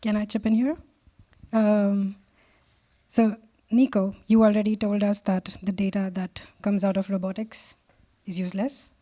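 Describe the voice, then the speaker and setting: A woman's voice: mid-range voice, flat delivery, unhurried, pauses often, mellow. A woman acts as moderator of a panel discussion. She speaks directly and with emphasis, steering the speakers through pointed questions.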